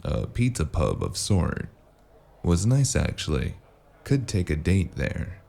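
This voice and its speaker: Male actor for terse cyberpunk gumshoe, audio drama. Gravelly fry, dark mellow tone, unhurried and dryly flippant. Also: sing-song, exaggerated voice. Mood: dry, defensive. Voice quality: very deep, gravelly. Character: laconic, world-weary male cyberpunk noir investigator, dryly flippant. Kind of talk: noir audio drama acting.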